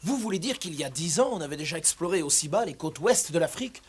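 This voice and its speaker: A man's voice: a little high, expressive, fast talker, slightly rough. A man playing a young, bold and determined sea adventurer in a dubbed cartoon, earnest and intense. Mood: surprised, curious. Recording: loud music over the voice.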